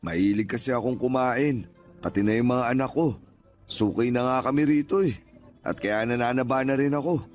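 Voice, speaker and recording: Radio drama actor as a kindly older ex-vendor, medium-pitched, folksy and chatty, faint music underneath. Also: male voice, normal speed, natural voice. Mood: chatty, contented. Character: kindly, talkative older father, former street vendor. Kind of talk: Tagalog radio drama dialogue.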